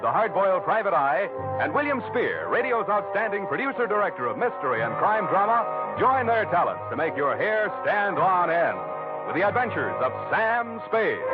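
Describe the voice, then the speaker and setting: An American man working as a 1940s radio announcer, delivering show introductions and sponsor pitches with polished, emphatic salesmanship. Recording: narrow 1940s radio broadcast over music.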